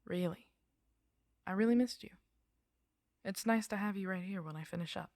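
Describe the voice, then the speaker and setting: A woman playing an affectionate, gently teasing girlfriend in an intimate sleep-aid roleplay, murmuring softly and coaxingly to the listener, close to the microphone. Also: mid-range voice, moderate pace, creaky, no room sound. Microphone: close microphone, dry sound.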